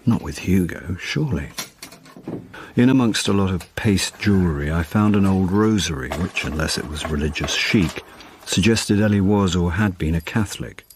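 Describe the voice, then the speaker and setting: A deep-voiced, middle-aged amateur detective, played by a man in a radio drama. He is wry, understated and put-upon, both narrating and talking, with faint noise behind him. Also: normal speed, natural voice. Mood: understated, watchful. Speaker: narrator and lead character. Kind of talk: first-person radio drama narration and dialogue.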